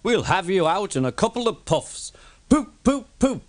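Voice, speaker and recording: A man voicing a big, boastful storybook engine with a hearty, booming, mocking delivery. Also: big pitch swings, normal speed. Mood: jovial, boastful.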